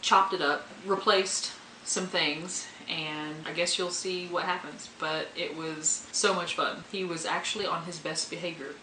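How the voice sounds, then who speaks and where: A woman with a bright, forward voice introduces a video in a casual, candid way, poking fun at herself. The room is echoey, with a faint noise behind her, picked up without an external microphone. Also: fairly low pitch, steady tone, a little slow, few pauses. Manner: candid, self-deprecating. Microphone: camera's built-in microphone, no external mic.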